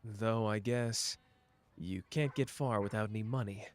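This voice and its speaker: A man's voice: fairly low pitch, steady tone, natural voice. A man playing a wry, teasing young schemer. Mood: thoughtful. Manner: dry, teasing, quick-witted, close and intimate. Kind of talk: ASMR listener roleplay.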